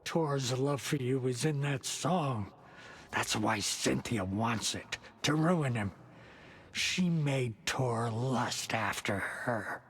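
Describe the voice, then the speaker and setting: A man's voice: mid-range voice, animated, slow, a bit bright. A man playing a sorrowful old poet-rocker in a video game, solemn and dramatic. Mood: grieving, bitter. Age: older. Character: old, sorrowful, poetic rocker brother speaking in riddles. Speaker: supporting character in a video game. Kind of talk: cinematic game acting.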